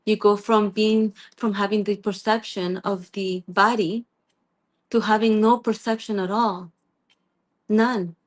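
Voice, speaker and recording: Middle-aged woman from Peru, guest sharing a personal story, earnest and halting, mid-pitched. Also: fairly even intonation. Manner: earnest, halting with frequent restarts and soft laughs. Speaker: guest sharing her spiritual journey. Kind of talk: reflective personal sharing in a group call.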